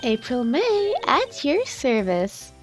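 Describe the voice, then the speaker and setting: A high-pitched flirty ditz. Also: female voice, wildly swooping pitch, slow, heavily acted. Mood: coy, flirty. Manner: coy, airheaded, breezy. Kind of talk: video game fandub acting. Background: music.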